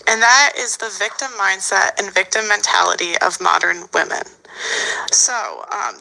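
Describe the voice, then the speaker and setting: A woman's voice: medium pitch, vocal fry, sharp tone, low-quality recording. A woman hosting a podcast panel, putting direct questions to her guests. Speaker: podcast host. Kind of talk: moderating a panel discussion.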